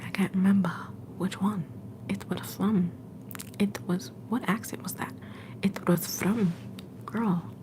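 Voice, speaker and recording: A young woman hosting a livestream from home, whispering softly and affectionately to her viewers. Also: mid-range voice, steady tone, slow, long pauses, background noise. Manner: soft whisper, affectionate and encouraging. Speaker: livestream host.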